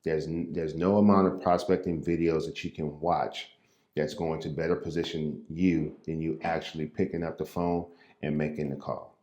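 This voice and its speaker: Deep-voiced, low-key Midwestern man. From Midwest US, St. Louis. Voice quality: naturally low, somewhat monotone voice. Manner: unhurried, loose and conversational, with repeated words and fillers. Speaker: real estate agent and coach. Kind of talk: impromptu coaching talk to camera. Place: his call workspace, standing at a desk, roomy sound. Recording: echoey room.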